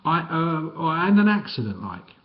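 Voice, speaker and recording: A man's voice: on the high side, very animated, normal speed, highs cut off, voice pushed up. A man voicing a scrawny, sniggering old male petty crook in a storybook reading. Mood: chummy.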